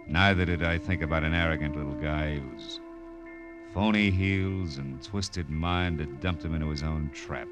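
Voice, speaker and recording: Casting: world-weary American gumshoe, low male voice, slangy noir narration over music, old-time radio. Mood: weary musing. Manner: wry, tough, slangy delivery. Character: hardboiled male private detective, wry, world-weary narrator. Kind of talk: 1940s radio noir acting, first-person narration. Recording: vintage radio recording with music.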